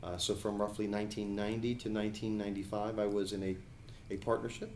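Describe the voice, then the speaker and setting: A man in his forties from New Jersey, answering questions as an attorney and judicial candidate in an editorial board interview, speaking in a measured way with frequent hesitations. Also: fairly low pitch, flat delivery, a little mellow, roomy sound, slight background noise. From New Jersey, USA. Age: 40s.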